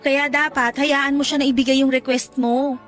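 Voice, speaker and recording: High-pitched, frank Filipina best friend. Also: female voice, little pitch movement, moderate pace, a bit bright, faint music behind. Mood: frank, scolding. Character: Denise, frank, teasing, protective young female best friend. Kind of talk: Filipino radio drama acting.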